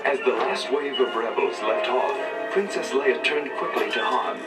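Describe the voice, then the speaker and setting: Man narrating a storybook record over loud music. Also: medium pitch, moderate pace. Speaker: narrator of a children's read-along story record. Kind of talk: scripted dramatic storybook narration. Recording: 1980 record playback, distant, loud music underneath.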